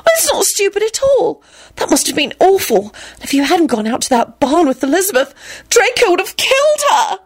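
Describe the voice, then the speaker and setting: A woman voicing a feisty, sharp-tongued young woman in a storybook reading, swinging between scolding and gushing. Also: high-pitched, huge pitch swings, fast talker, pitched above the performer's own voice, full character voice. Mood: horrified sympathy.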